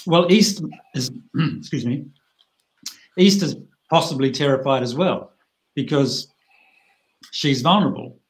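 A middle-aged man teaching a bridge hand over a video call, plain-spoken and chatty with lively asides. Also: medium pitch, a little slow, long pauses, rough recording.

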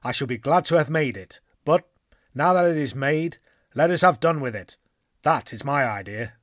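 A restless, hearty harpooner speaks up loudly, pushing every point with emphasis, as a man reads him. His voice sits higher and louder than the narration around it. The sound is close and dry. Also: animated, normal speed, no room sound, close to an everyday voice. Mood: insistent. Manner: forceful, emphatic. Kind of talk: audiobook dialogue reading.

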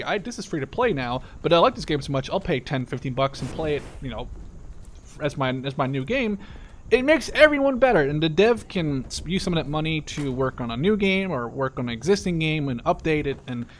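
Male gameplay streamer, voice a bit high, casual and profane, drifting off mid-sentence, gunshots and clicks audible behind. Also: a little hiss or hum. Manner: rambling, profane, easily distracted mid-sentence. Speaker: video game streamer. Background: video game gunfire.

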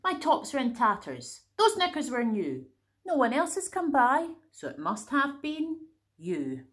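A woman's voice: high-pitched, huge pitch swings, unhurried, voice pushed up, exaggerated voice. A woman voicing an alarmed farm woman who accuses the animals.

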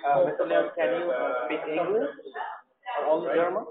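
A man working as a call-centre agent, making a halting sales call on the phone. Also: on the high side, a loud crowd. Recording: tinny phone from echoey, crowded call centre. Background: many loud co-workers talking.

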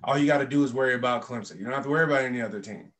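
A young man who played college football, talking as a guest on a sports podcast with emphatic, animated delivery over a laptop mic. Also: medium pitch, very fast. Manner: emphatic, animated, uses many fillers. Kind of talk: casual sports talk. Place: indoor room.

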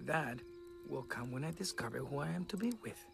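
An actor voicing a naive, earnest young fairy-tale prince with a dramatic, boyish manner in an animated comedy film. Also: male voice, a little high, a little fast, gravelly, noisy background. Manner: dramatic, self-serious, boyish.

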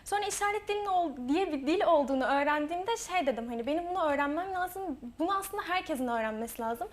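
Young woman talking fast and high. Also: animated. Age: young adult. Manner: earnest, quick, with frequent hesitations. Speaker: student author, guest. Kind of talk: interview answers.

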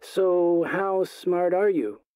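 A man's voice: high voice, very slow, dark tone, clear, resonant tone, no echo. An actor playing a creepy, coaxing male stranger on the phone in an audio drama, hesitant and insinuating.

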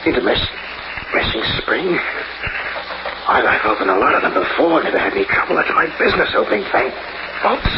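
Edgy wisecracking locksmith, very animated. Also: male voice, fairly high pitch, a little fast, gravelly, pauses often. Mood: tense, frustrated. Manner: slangy, hard-boiled. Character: edgy, wisecracking working-class male locksmith. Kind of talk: old-time radio crime drama acting. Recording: muffled, noisy old radio recording.

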